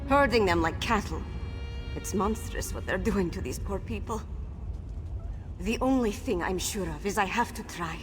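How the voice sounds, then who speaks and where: A woman's voice: mid-range voice, close to an everyday voice. An actress playing a fierce, determined young noblewoman rebel in a fantasy video game, impassioned and earnest. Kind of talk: dramatic scripted video game dialogue. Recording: long reverb, game music behind.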